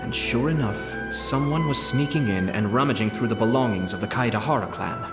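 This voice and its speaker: An actor playing a sly, composed man who speaks in a measured, formal, faintly amused way. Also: medium pitch, close to an everyday voice. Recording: roomy game voice-over with music.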